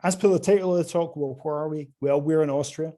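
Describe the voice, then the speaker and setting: A man's voice: on the high side, quick pace. A man with a Scottish accent giving a conference presentation over a video call, with many filler sounds and restarts. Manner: hesitant, many filler sounds, lively rises and drops in level. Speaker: conference speaker from a quantum computing company.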